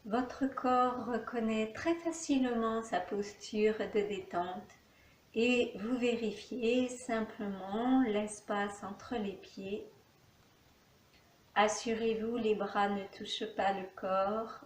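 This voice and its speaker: A woman teaching yoga nidra, giving calm, soothing guided relaxation instructions with long pauses in an echoey room. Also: fairly high pitch, slight background noise.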